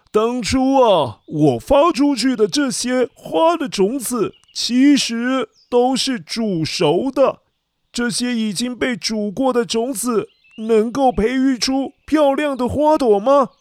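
Casting: kindly but stern old storybook king, very high pitch, unhurried and regal. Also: animated, a little mellow, dry recording, studio quality, exaggerated voice. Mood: stern, revealing. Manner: slow, stately, authoritative. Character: kindly, stern old king testing his subjects' honesty.

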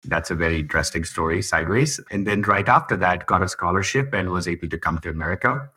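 A man's voice: sits low, expressive, quick pace, sharp tone, slightly rough. A man from India, a podcast guest, chatting casually and thinking aloud, laughing easily. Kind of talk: casual interview chat.

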